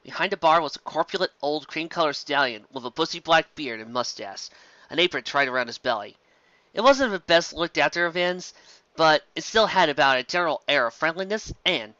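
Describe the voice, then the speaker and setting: A man reading fantasy fiction aloud as an amateur storyteller, expressive, occasionally stumbling over words. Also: fairly high pitch, no room sound, lo-fi sound.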